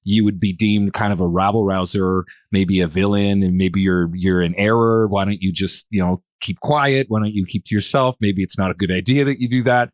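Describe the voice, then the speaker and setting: A man's voice: low voice, fairly even intonation, normal speed, hardly any pauses, dry recording, clean studio sound. A man hosting a podcast in casual discussion, rambling and searching for words as he thinks aloud. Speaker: podcast host.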